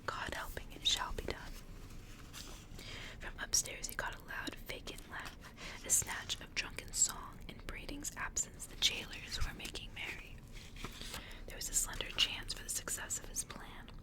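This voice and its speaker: Whispering woman reading aloud for ASMR. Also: rough recording. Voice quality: whispered, breathy. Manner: soft, hushed, unhurried storytelling. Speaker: ASMR reader and host.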